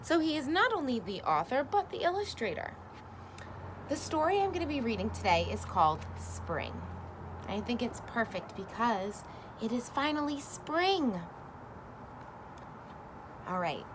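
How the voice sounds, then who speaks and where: A woman teacher reading a children's story aloud, with slow, expressive storytelling. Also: a little high, noisy background.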